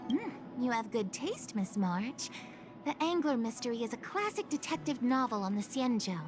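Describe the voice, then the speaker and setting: A woman's voice: fairly high pitch, sing-song, moderate pace, over music. A woman voicing an earnest, courteous junior official in a video game, polite and a little hesitant. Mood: reflective. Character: earnest, courteous young-sounding female junior official, long-lived.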